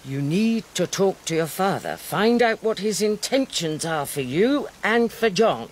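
A woman's voice: lowish voice, animated, unhurried, a little hiss or hum. An actress playing a shrewd, blunt, commanding older queen in a historical radio drama. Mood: urgent counsel. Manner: bawdy, imperious, urgent.

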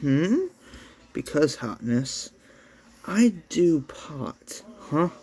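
A man's voice: fairly high pitch, sing-song, very slow, mellow, breathy edge, voice pushed up, exaggerated voice. Man voicing a nonchalant anime girl, drawling. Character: laid-back, cool eighteen-year-old anime transfer-student girl.

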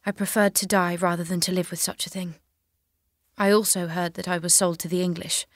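A woman's voice: lowish voice, level tone, a little fast, no echo, clean studio sound, lightly acted. A woman playing a devout, resolute young peasant prisoner in an audio drama, earnest, plain-spoken and guarded. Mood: solemn testimony.

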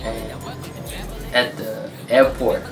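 An adult man whose first language is Korean, chatting casually as an interview guest, with a relaxed, halting manner full of fillers and restarts. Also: fairly low pitch, vocal fry. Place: small dark studio room, indoors. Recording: roomy, distant sound with music behind.

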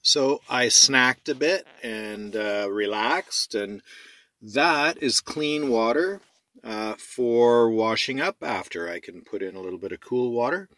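Guy, bright tone, laid-back how-to vlogger in a small boat cabin. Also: mid-range voice, takes it slowly, no echo. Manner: chatty, relaxed, with restarts and "eh?" tags. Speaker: sailboat designer and vlogger. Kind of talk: casual how-to vlog narration. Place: small sailboat cabin at night.

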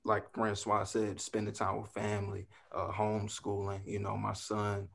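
A man's voice: fairly low pitch, monotone, normal speed, creaky, a bit bright. Laid-back man chatting on a video call. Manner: laid-back, talks freely with fillers and asides. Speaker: actor guest. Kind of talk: casual video call chat. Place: home room, indoors.

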